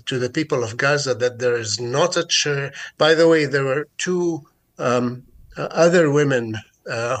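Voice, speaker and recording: A mature man with a Palestinian Arabic accent, giving an unscripted account in a radio interview in a halting, deliberate way with many hesitations. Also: mid-range voice, a bit bright. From Jerusalem, Palestine. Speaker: interview guest, advocate.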